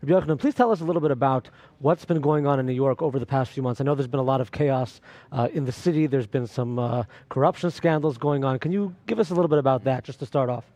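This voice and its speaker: Fast-talking man introducing a guest. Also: mid-range voice, darkish tone, no room sound. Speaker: studio co-host.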